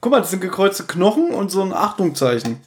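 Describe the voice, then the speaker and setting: Higher-pitched man chatting as podcast host. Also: big pitch swings, fairly quick. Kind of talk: casual banter about audio dramas.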